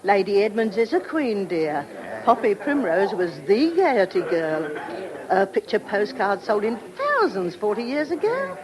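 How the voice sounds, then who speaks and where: A woman's voice: medium pitch, very animated, measured pace. An actress playing a chatty, devoted older lady's companion in a radio play, gushing and familiar. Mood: proud, fond. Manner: gushing, familiar, calls people dear. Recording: radio drama with crowd murmur.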